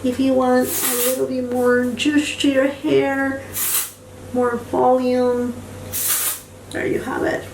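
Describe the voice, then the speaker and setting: A woman's voice: high voice, level tone, takes it slowly, gravelly, pauses often, darkish tone, echoey room, recorded from a distance, slight background noise, low-quality recording. Gushing woman. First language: Spanish. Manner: enthusiastic, gushing, repeats words for emphasis. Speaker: beauty vlogger. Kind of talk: casual product review.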